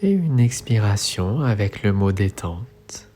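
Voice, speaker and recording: Slow, low-voiced man guiding meditation. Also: animated. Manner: soothing, instructional, with measured phrasing. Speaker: guided meditation instructor. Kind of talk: guided relaxation meditation, yoga nidra. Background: soft music.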